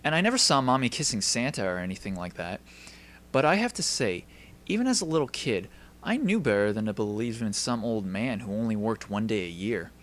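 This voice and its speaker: Male actor as cynical teen schoolboy narrator, anime dub, dry and wry, forward bright tone. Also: lowish voice, sing-song, brisk, pitched below the performer's own voice. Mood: wry, resigned. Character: cynical deadpan teenage schoolboy narrator, wistfully world-weary.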